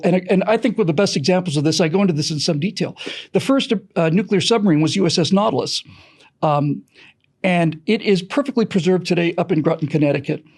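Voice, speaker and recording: Man, high-pitched voice, emphatic explainer, opinionated historical storytelling, deliberate repetition, interview setting. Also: a little mellow. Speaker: podcast creator being interviewed.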